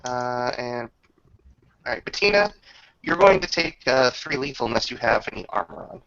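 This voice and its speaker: A man acting as game master, improvising descriptions and rulings in casual, halting talk with a creaky voice. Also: medium pitch, lively intonation, fairly bright tone, lo-fi sound. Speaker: game master running a tabletop roleplaying game.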